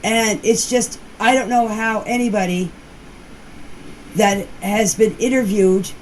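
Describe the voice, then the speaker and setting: A woman's voice: medium pitch, steady tone, slow pace, darkish tone. A woman giving a casual, rambling personal account in plain, folksy words, recorded as voice messages. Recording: noisy voice-message clips with background ambience.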